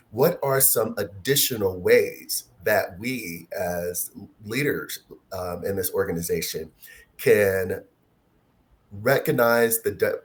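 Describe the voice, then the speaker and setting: A man with a very deep voice leads a panel over a video call. He speaks in a measured way and fills his pauses with ums. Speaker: panel moderator. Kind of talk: online panel discussion.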